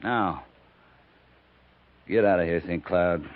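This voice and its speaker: An actor playing a firm, level-headed cavalry captain in a terse, commanding 1950s radio drama style. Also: male voice, sits low, slow pace. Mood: stern. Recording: noisy, narrow-band 1950s radio recording.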